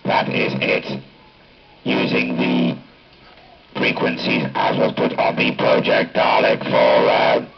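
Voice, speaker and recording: A man speaking through a Dalek-style ring-modulator robot effect, announcing test settings in a clipped, deliberate way. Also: extremely low pitch, monotone, creaky, faint music behind. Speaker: hobbyist demonstrating a voice changer. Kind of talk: product test demonstration. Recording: dull lo-fi capture from amplifier speaker.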